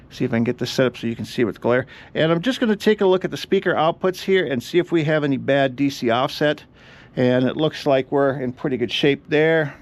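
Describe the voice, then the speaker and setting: A man giving a casual hands-on repair walkthrough, explaining each step aloud as he works. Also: on the high side. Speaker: host of an electronics repair channel.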